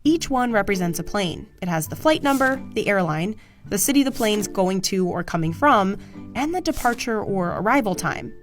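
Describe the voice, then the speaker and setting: A woman's voice: mid-range voice, lively intonation, moderate pace. A woman reading scripted documentary narration in an explanatory manner. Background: background music.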